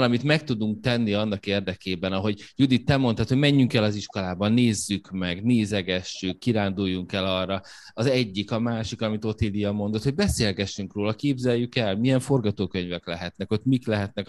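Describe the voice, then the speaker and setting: Guy with a lowish voice hosting a chat podcast, thinks out loud, restarts a lot. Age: young adult. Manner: reflective, thinks aloud with restarts. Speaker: podcast host. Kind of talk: conversational interview.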